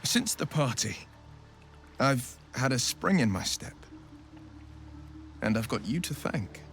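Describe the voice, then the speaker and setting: An actor playing a gallant, earnest young warrior hero in a video game, courtly and gently teasing in his speech. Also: medium pitch, expressive, takes it slowly, creaky, faint music behind, full character voice. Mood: teasing, hopeful. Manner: courtly, eloquent phrasing with soft hesitations. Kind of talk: video game dialogue acting.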